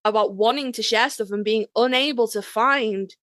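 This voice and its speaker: A woman's voice: fairly high pitch, normal speed, a bit bright, dry recording, studio quality. A woman chatting casually in a self-mocking way, acting out imagined remarks as she goes. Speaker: podcast participant, fanfiction writer. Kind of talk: casual chat.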